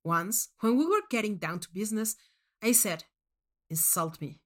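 A woman reading a first-person short story aloud as narrator, in an expressive, dramatic manner. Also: mid-range voice, moderate pace.

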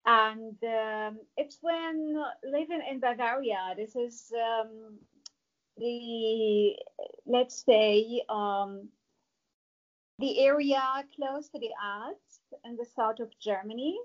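A woman from Morocco, a mountaineer, answering interview questions in a measured way with fillers. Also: on the high side, lo-fi sound. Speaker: mountaineer guest.

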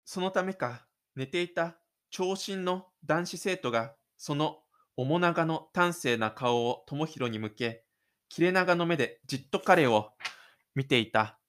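A man's voice: on the high side, measured pace, fairly bright tone. A man narrating a scripted audio drama in a dramatic storytelling style.

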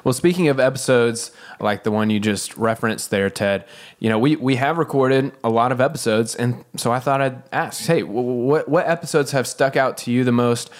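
A man co-hosting a podcast in casual chat, halting and full of "you know". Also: medium pitch. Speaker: podcast co-host.

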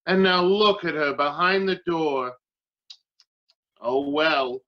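A man's voice: on the high side, slow pace, lo-fi sound. A man playing a smug, sneering gangster with a sarcastic, mocking drawl in a vintage-style radio drama. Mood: sly, taunting. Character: smug, sneering male gangster, menacing wise guy.

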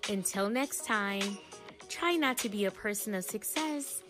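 A woman reading a scripted show outro in a smooth, even announcer delivery. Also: mid-range voice, unhurried. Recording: voice-over with music behind.

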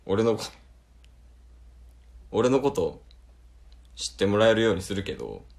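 Man playing dating-show rival, Kansai accent, very low voice, lively swooping intonation, blunt, proud, competitive, amateur radio drama. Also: takes it slowly, creaky, heavily acted. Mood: fiercely determined. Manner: blunt, informal Kansai banter.

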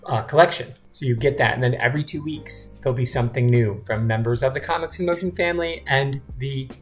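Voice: mid-range voice, fairly quick. A podcast host speaking informally and directly to listeners in an introduction. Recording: soft guitar music behind. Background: soft guitar music.

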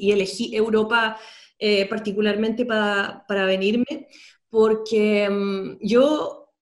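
A woman in her thirties with a Chilean Spanish accent, an actress telling her story as an interview guest with lots of fillers and restarts. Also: mid-range voice. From Sweden. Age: 30s. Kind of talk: casual interview.

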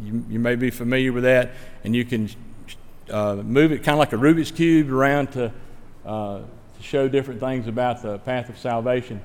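A man's voice: mid-range voice, fairly even intonation, normal speed. Folksy North Carolina man in a reverberant hall. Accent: North Carolina, Stokes County. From Stokes County, North Carolina. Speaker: mission team member giving a trip report. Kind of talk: plainspoken slide-show presentation.

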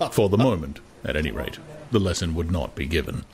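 A man's voice: deep voice, big pitch swings, normal speed, darkish tone. A man narrating a literary novel as a dramatized audiobook. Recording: clear background noise.

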